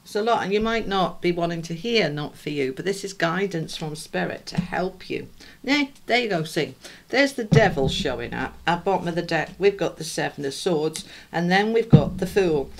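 A woman's voice: on the low side, expressive, normal speed, vocal fry, slight background noise. A middle-aged British woman from the UK giving a chatty, rambling tarot reading to her viewers, her voice a little throaty and congested. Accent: British English. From United Kingdom. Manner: chatty, rambling, addresses viewers warmly as a group. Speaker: tarot reader. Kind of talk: casual spoken tarot reading.

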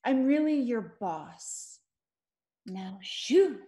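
A woman's voice: a little high, animated, very slow, mellow. An actress playing a smug, assertive young woman boss at a comedy table read over a video call. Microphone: computer mic on a video call.

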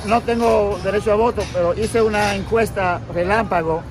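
A man's voice: high-pitched, fairly even intonation, moderate pace. A middle-aged man answering questions casually in a street interview. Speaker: interviewee at a rally. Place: outdoors on a city street corner. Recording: noisy street with passing cars.

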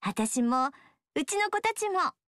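A woman's voice: high-pitched, big pitch swings, takes it slowly, a bit bright, dry recording, heavily acted. An actress voicing a cheerful young female ninja with a protective, motherly streak, sounding bubbly, sweet and eager. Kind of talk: studio game dialogue. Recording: dry studio recording.

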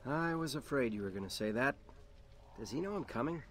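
A man's voice: medium pitch, lively intonation, a little fast, fairly bright tone, slight background noise, natural voice. A man with an American accent playing a cocky human soldier in a video game, talking in a casual, slangy, sarcastic way. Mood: incredulous, wry. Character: cocky, wisecracking human soldier, reluctant hero.

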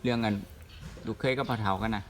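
A man's voice: lowish voice, fairly even intonation, fast. A man with a northeastern Thai accent, co-hosting casually and making polite, agreeable promotional remarks. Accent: northeastern Thai (Isan). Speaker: co-host. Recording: clear background noise.

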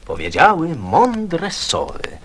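A man's voice: fairly high pitch, wildly swooping pitch, takes it slowly, a bit bright, slight background noise. A man theatrically reciting a poem for children. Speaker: poetry reciter.

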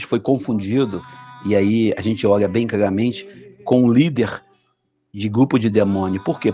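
Brazilian man, lowish voice, hesitant chatty preacher, dull lo-fi audio, faint music under. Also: darkish tone. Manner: conversational, many hesitations and restarts. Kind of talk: informal gospel reflection.

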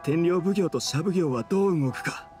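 A man's voice: on the high side, brisk, a little mellow, natural voice. Man acting brooding, uneasy household retainer. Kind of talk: anime game voice acting. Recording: music behind the voice.

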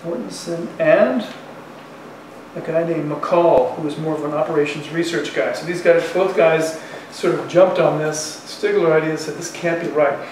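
A man's voice: a little high, moderate pace. A man in his sixties, a professor giving an informal lecture in a colloquial, conversational way, thinking aloud. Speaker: university economics professor. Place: university classroom in front of chalkboard. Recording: echoey classroom, distant mic, faint noise.

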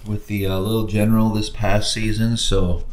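A man's voice: lowish voice, normal speed. A man in his thirties hosting a fishing vlog, chatting casually with lots of fillers. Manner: relaxed, chatty, many fillers. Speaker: fishing channel host. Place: outdoors in a coastal salt marsh. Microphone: action camera held close. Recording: noisy outdoor camera audio.

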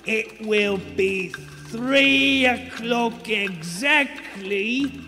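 An actor playing a brash, pushy street hawker and conductor in a live radio play, with showy, bossy patter. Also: male voice, high voice, extremely slow, bright, forceful tone, faint music behind, exaggerated voice. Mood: officious. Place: theater stage before a live audience. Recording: live theater hall, from stage.

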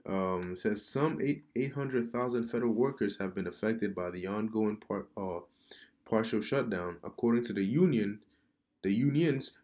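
A man giving casual religious commentary over news articles he reads aloud, halting and repeating words, often calling the listener "man.". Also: lowish voice, darkish tone. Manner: preachy, addresses viewer as man. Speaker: online commentator. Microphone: computer mic during screen recording.

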